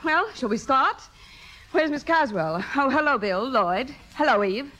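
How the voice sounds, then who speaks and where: Proud veteran theater diva, high-pitched, theatrical, acid sarcasm, old radio drama. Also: female voice, lively intonation, measured pace, sharp tone, exaggerated voice, low-quality recording. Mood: mock-sweet, biting. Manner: theatrical, biting, mock-sweet sarcasm. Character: proud, wounded, sarcastic veteran stage actress. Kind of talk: 1950s radio drama acting.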